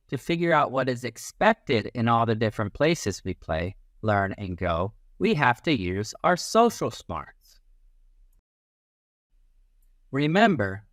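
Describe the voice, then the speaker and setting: Animated man reading a children's book aloud. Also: mid-range voice, measured pace, dry recording, studio quality. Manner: animated, instructive, acts out little sounds and quotes. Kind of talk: expressive children's read-aloud.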